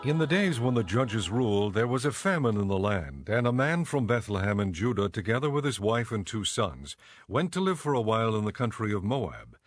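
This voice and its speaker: A man narrating scripture from a script in a measured, solemn manner. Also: on the low side, sing-song.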